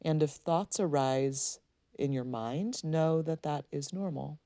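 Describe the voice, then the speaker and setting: A woman leading a guided meditation, speaking in a soothing, gently instructing way with unhurried pauses. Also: sits low, no room sound.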